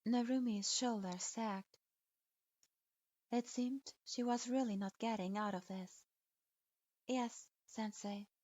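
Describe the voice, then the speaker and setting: A woman reading a fan fiction story aloud in an even storytelling manner. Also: a little high, measured pace, no room sound. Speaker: story reader. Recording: dull lo-fi.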